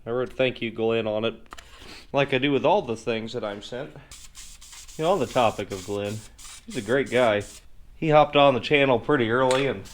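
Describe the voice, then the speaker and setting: A man's voice: medium pitch, slight background noise. A man hosting a casual DIY car repair vlog, talking folksily as he works, with tongue-in-cheek, mock-serious asides. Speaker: host of a car repair video channel. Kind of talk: casual DIY vlog narration while working. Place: garage workshop.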